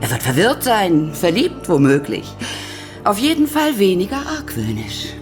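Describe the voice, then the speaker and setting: An actress playing a cool, calculating conspirator in a radio drama, clipped and methodical. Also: female voice, medium pitch, big pitch swings, unhurried, music in the background. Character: cool, calculating female conspirator briefing a plan.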